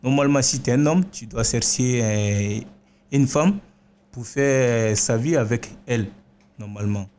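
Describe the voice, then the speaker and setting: A young man from Guinea, a vocational student, speaking hesitantly with many pauses and fillers in a classroom debate. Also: mid-range voice, a little mellow, slight background noise. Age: young adult.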